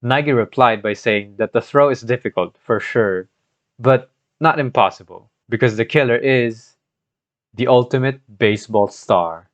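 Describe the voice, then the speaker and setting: A man's voice: mid-range voice, normal speed. A young man with a Filipino accent narrates a game playthrough from a script, with casual asides. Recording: dull scuffed mic, music behind.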